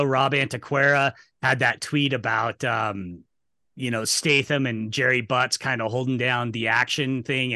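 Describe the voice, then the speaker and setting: Blunt man with a bright, dry voice. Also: medium pitch, dry recording. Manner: blunt, swearing, emphatic. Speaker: podcast host. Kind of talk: casual podcast chat.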